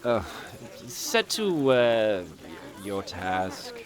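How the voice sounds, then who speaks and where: An actor playing a pompous, cruel usurping lord in a fantasy audio drama, stammering when flustered. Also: male voice, on the low side, big pitch swings, very slow, heavily acted. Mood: nervous, flustered.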